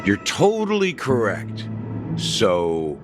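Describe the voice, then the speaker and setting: An actor voicing a scheming middle-aged man in a game cutscene, measured and wry as he explains. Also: lowish voice, wildly swooping pitch, exaggerated voice. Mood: calm. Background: game score music.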